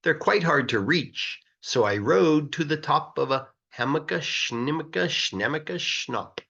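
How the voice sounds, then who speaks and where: A man reads a children's story aloud in an expressive, sing-song rhythm, stretching words for emphasis like a teacher reading to a class. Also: on the high side, unhurried, vocal fry, dull audio. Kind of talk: animated rhyming storybook narration.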